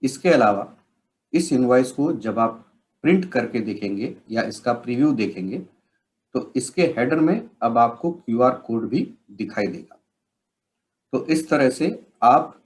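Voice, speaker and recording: A man with an Indian accent explains software steps as a trainer, in measured, instructional phrasing. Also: mid-range voice. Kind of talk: instructional software tutorial narration.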